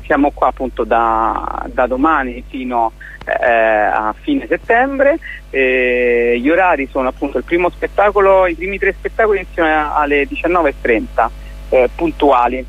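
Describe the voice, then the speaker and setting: Male interview guest, mid-pitched, informal and halting, feeling his way through phrases. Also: narrow, tinny audio. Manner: informal, halting, searching for words. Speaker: festival organiser, radio guest. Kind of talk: casual spoken interview.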